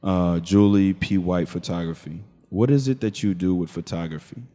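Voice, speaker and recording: A man hosting a casual interview podcast, laid-back and quick to answer with "okay" and "no doubt". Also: sits low, dark tone, long reverb tail. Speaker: podcast host. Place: room in a shared studio space.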